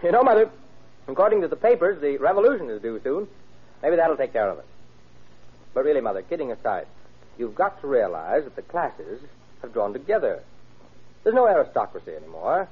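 An actor playing a practical young doctor, a fond son reassuring his mother in a brisk, offhand way in an old radio drama. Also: medium pitch, very animated, slightly rough. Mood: concerned, reassuring. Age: young adult. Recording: thin, tinny old radio recording.

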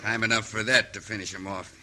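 An actor playing a cruel, taunting outlaw gang boss in an old radio western, with a folksy American cowboy drawl. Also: male voice, fairly low pitch, flat delivery, fast, bright, forceful tone. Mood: vengeful.

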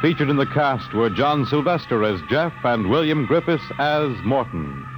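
A man's voice: medium pitch, big pitch swings, normal speed. A man reading closing credits as a 1950s radio announcer. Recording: noisy vintage radio recording.

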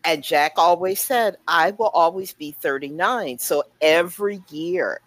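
Low-voiced woman telling an anecdote. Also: a little slow, no room sound. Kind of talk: casual group chat, telling an anecdote.

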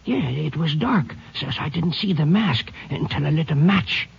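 Raspy, grumbling rural watchman. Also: fairly high pitch, animated, moderate pace, heavily acted. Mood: halting, aggrieved. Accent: rural American. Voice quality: raspy, creaky. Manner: stammering, folksy, grousing. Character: grumbling, folksy old night watchman, injured and sour. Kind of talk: 1950s radio western acting. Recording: dull old radio recording.

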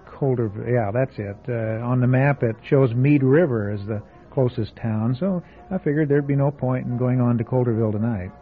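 A man's voice: medium pitch, normal speed, hardly any pauses, lightly acted. A man playing a jittery stammering reporter, tinny radio. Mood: uneasy. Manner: hesitant, stammering with uneasy fillers. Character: nervous young male traveling reporter, jittery. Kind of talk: old-time radio drama acting. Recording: phone-like old radio broadcast with faint music.

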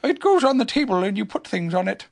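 A storybook reader voicing a small, gentle toy bear who is sleepy, polite and a little puzzled, speaking hesitantly. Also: medium pitch, sing-song, fast, creaky, voice pushed up. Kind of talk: children's storybook character reading.

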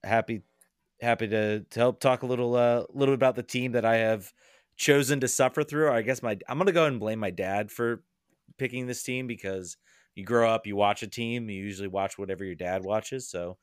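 A man guesting on a casual soccer podcast, talking in halting bursts with many restarts and wry laughs. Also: mid-range voice, fairly even intonation, clear, resonant tone, no echo, studio quality.

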